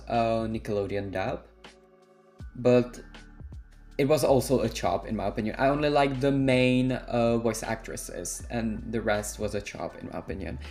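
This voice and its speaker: A man's voice: medium pitch, darkish tone. Sassy young man with a Czech accent. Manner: sassy, slangy, dismissive. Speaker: vlogger. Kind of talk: casual opinion rant.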